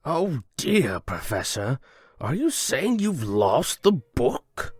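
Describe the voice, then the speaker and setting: A man playing a mild, scholarly monastery librarian in a comic dub, speaking in a polite, fussily formal, measured way. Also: mid-range voice, wildly swooping pitch, breathy edge, no echo, studio quality, exaggerated voice. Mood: measured, concerned. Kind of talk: dramatic voice acting for a comic dub.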